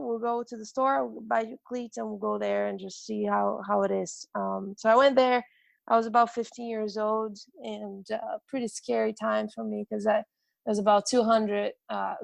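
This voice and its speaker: A woman's voice: medium pitch, creaky, no room sound. A woman with a Brazilian accent, a strength coach, telling her life story with frequent hesitations and restarts. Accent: Brazilian Portuguese. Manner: hesitant, many ums and restarts.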